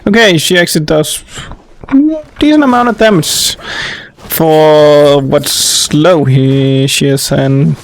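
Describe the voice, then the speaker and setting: A woman's voice: deep voice, takes it slowly. A woman giving casual, offhand gameplay commentary as she plays. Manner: offhand, swearing, unimpressed. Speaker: game streamer, let's player.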